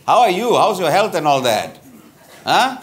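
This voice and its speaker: An actor with a Malaysian accent playing a chatty, tactless middle-aged lawyer in a live stage comedy, blunt and colloquial. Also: male voice, high voice, moderate pace. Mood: friendly, exaggerated concern. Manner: animated, blunt, colloquial with particles like lah. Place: theatre stage with bar set, live audience. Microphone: lapel mic.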